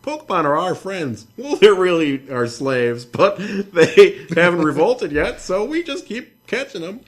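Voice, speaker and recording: A man's voice: sits high, sing-song, a little slow, voice pushed up. A man voicing an overeager cartoon professor who presents with mock cheer. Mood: mock cheerful.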